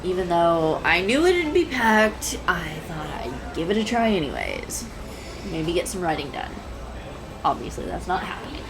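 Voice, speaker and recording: A woman's voice: fairly low pitch, wildly swooping pitch, normal speed, crowd noise, poor audio. A woman playing a shy, adorkable young writer in an audio roleplay, speaking hesitantly with stammers, nervous giggles and apologetic phrasing. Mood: chatty, self-conscious. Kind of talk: intimate one-sided audio roleplay acting. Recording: clear background noise, cafe ambience effects. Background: coffee shop sound effects.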